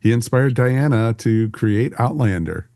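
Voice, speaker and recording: A man's voice: medium pitch, animated, a little slow, dark tone, no room sound, studio quality. A man hosting a podcast, asking questions in casual chat and agreeably backing up what the guest says.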